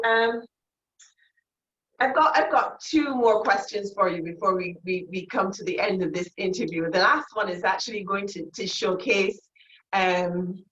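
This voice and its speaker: A woman hosts the interview, effusive and animated. Her voice carries a roomy echo and sounds a bit dull and muffled. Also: mid-range voice. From Barbados. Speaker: interview host. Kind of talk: casual interview hosting.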